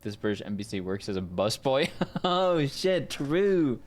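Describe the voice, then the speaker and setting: A man with a mid-pitched voice chats casually as a streaming voice actor. His talk is informal and unscripted, peppered with swearing. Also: very animated, measured pace. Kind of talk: casual livestream chat.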